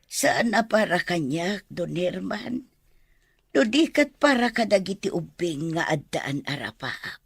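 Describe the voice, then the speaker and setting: Creaky, humble old grandmother. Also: fairly low pitch, sing-song, no room sound, full character voice. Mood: pleading. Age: older. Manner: slow, respectful pleading. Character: humble pleading grandmother, Manang Simang. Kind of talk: Ilocano radio drama acting.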